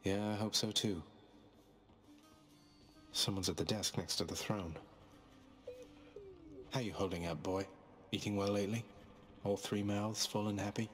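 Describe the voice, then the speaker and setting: A man's voice: lowish voice, steady tone, measured pace, pauses often, a bit bright, faint music behind. A man playing a cocky, breezy young underworld prince in a video game, casual and sarcastic. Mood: breezy, wry.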